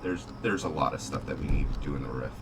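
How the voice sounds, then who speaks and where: A man giving casual commentary on a roleplay game, talking in a measured, halting way. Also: rumbling low, creaky, noisy background. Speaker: gaming video host. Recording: distant mic under loud music. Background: loud game music.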